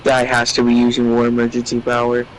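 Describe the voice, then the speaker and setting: A man's voice: medium pitch, monotone, quick pace, slight background noise, low-quality recording. Competitive bantering gamer man. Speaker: friend and co-player. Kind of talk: casual gameplay chat.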